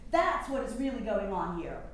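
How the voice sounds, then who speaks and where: A woman's voice: medium pitch, sing-song, moderate pace, close to an everyday voice. A woman acting a defiant, indignant nineteenth-century suffrage campaigner in a theatrical staged reading, challenging and emphatic in her delivery. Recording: very echoey hall, faint background noise.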